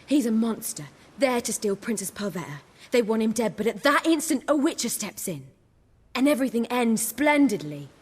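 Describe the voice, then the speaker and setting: An actress playing an excitable, self-assured playwright in a video game, with a theatrical and bossy manner. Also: female voice, on the high side, moderate pace, slightly rough, big-room sound. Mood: excited, theatrical.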